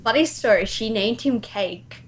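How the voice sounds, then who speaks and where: A woman's voice: a little high, measured pace, slight background noise, low-quality recording. A woman speaking as a guest in a casual chat about video games. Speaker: guest, amateur voice actor.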